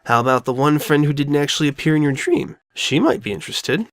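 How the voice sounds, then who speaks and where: A woman voicing a loyal, reassuring young boy dragon who talks plainly and gently insists, in an audio drama. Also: low voice, sing-song, fast talker. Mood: encouraging. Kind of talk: all-dialogue fan audio drama.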